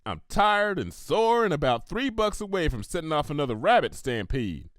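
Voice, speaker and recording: A weary cartoon farm mare, acted out by a man who talks in a folksy, wry, plain-spoken way. The delivery is very animated. The sound is dry and close. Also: on the high side, moderate pace, dry recording, voice pushed down. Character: tired, folksy adult female farm pony, plain-spoken.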